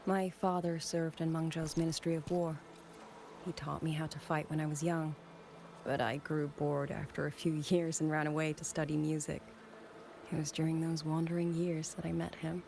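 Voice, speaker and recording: An actress playing a gentle, wistful widowed musician turned soldier in a game, speaking in a soft, measured, reflective way. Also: female voice, deep voice, level tone, vocal fry, a little hiss or hum. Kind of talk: scripted game dialogue.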